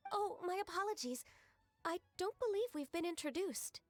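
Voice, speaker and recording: Gentle office girl in a game, high voice, very formal n careful, dutiful. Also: expressive, soft background music. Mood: polite, sheepish. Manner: formal, measured, polite. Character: gentle, dutiful young female civil secretary. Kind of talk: video game voice acting.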